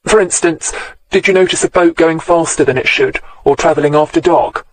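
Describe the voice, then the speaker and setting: A man's voice: on the high side, brisk, lightly acted. A man voicing a polite, dogged young detective constable asking careful questions.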